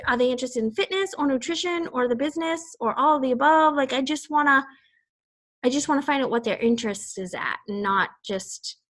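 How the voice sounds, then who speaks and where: A woman's voice: a little high, fairly bright tone. A woman with a Boston accent leading a casual team call, chatty and rambling, laughing often. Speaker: fitness team leader.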